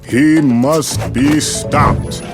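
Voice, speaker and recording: Wise old guardian spirit, slow and solemn, game cutscene with music. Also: male voice, medium pitch, creaky. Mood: solemn guidance. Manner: solemn, measured, fatherly. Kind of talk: video game mentor narration. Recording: music behind the voice.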